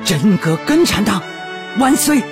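A man's voice: high-pitched, very animated, measured pace, music in the background. A man acting in a Chinese historical radio drama as an idealistic, assertive young revolutionary delegate with a fervent, oratorical delivery. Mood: rousing.

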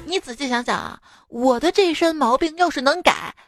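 A woman's voice: high-pitched, expressive, a little fast. A young adult woman hosting a radio joke show, reading quips in a playful, teasing way and laughing at her own lines. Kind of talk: reading jokes with laughs, casual radio talk. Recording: music bed under the voice. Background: background music.

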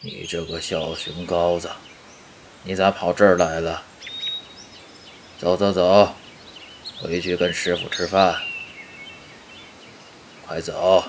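Man playing a deep, drawling gruff old master. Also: flat delivery, slow, long pauses, noisy background. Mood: fondly scolding. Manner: drawling, colloquial, scolding fondly. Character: gruff, folksy, doting older male demon-hunting master. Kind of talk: Chinese audio drama acting. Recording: outdoor birdsong and clicking noises behind.

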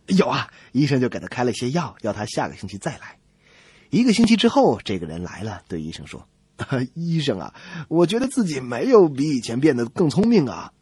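A man's voice: medium pitch, huge pitch swings, fairly quick, darkish tone, husky, no echo. A man hosting a radio comedy show, telling jokes in a playful, theatrical way.

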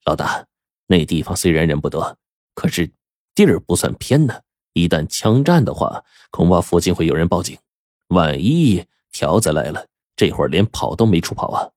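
A man voicing a cunning, soft-spoken male gang strategist in a spoken story. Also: sits low, very animated, normal speed, no room sound, studio quality. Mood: scheming. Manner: hushed, sly.

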